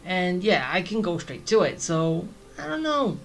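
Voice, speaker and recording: A woman's voice: on the low side, sing-song, a little slow, gravelly. A woman playing a video game and commenting casually, thinking aloud in a chatty way. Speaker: video game let's player. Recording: faint game music behind. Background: faint game music.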